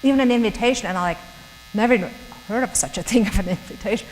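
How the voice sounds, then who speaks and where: A woman's voice: mid-range voice, rapid-fire. Woman, German accent, middle-aged, earnest church talk, long hall reverb, faint hum. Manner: earnest, chatty, laughs often. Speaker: guest speaker. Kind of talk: church talk to young professionals.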